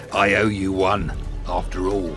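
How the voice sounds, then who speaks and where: A smug male villain in a fantasy audio drama. His voice sits on the low side and he takes his time over every mocking threat. Loud music swells behind him. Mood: smug menace. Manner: slow, mocking, chuckling menace.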